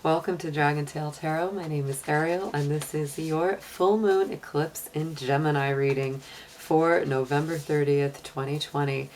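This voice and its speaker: A woman with a low, deep voice that sounds a bit hoarse. She talks in a casual, conversational way as a tarot reader guiding listeners through an astrology reading on her channel. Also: steady tone, normal speed, a little mellow, slight background noise, poor audio. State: slightly hoarse.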